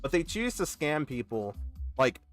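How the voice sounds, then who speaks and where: A man, chatting casually as a gaming host and telling an animated, complaining story. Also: a little high, measured pace, soft background music. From Texas. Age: 20s. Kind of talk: casual rambling chat while gaming.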